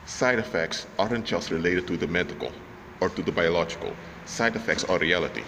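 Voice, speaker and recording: A man with a low voice teaches on faith, loud and emphatic, with halting restarts. His voice carries a long reverberant tail. Clear traffic noise runs behind him. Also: expressive. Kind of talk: spoken teaching monologue.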